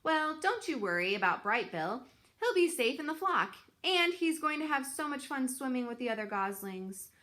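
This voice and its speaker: A woman's voice: high-pitched, very animated, moderate pace, pushed and bright, pitched above the performer's own voice, full character voice. A woman voicing a chatty, bossy, encouraging old female goose in a children's storybook. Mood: amused, reassuring.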